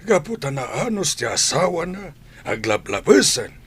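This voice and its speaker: Stern, worried old grandfather for Ilocano radio drama male actor: husky, admonishing, very animated intonation. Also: fairly high pitch, normal speed, full character voice. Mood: disapproving. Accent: Ilocano Filipino. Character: stern, concerned old grandfather scolding his gambling daughter.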